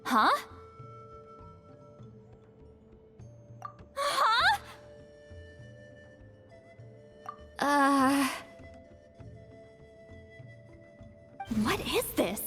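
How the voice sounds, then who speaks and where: A sassy, proud young female seer from a video game. Her high voice swoops expressively, brash and teasing. It carries a long reverb with music behind it. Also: fairly bright tone, full character voice. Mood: flustered. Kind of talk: animated video game cutscene acting.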